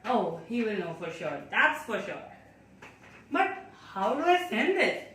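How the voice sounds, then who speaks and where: A woman performing a theatrical monologue as an anxious, chatty, naive child, talking to themself in a dramatic, deliberate way. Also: mid-range voice, big pitch swings, fairly bright tone. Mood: puzzled. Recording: very echoey room, distant mic, faint noise.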